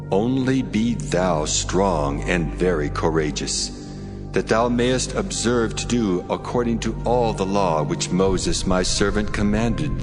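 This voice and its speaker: A deep male divine voice performed by an actor, solemn and stately, rolls out slow commands in long reverb. Music plays behind this scripture drama.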